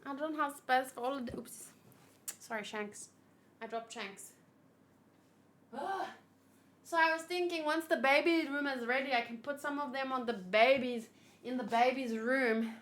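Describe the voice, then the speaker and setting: A woman hosting a casual livestream, thinking aloud and exclaiming as she chats with viewers in an animated way. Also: high voice, a little slow, long pauses, slight background noise. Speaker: livestream host. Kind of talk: casual livestream chat, reading and answering viewers.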